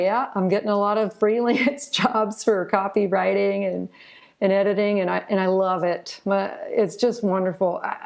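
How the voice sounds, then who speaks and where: A woman's voice: medium pitch, creaky. A middle-aged woman chatting as an interview guest in a gushing, enthusiastic manner. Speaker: guest author and publisher. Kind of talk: casual interview conversation.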